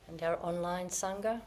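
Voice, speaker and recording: A woman Zen teacher giving a dharma talk in slow, reflective, searching phrases, her voice a little croaky after being ill. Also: on the low side, a little mellow, slight background noise. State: slightly croaky from illness. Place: meditation hall with online audience.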